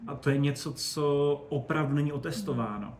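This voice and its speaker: Man explaining, in an echoey room. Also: fairly high pitch. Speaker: space expert guest. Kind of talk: casual interview talk. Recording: roomy echo, faint background noise.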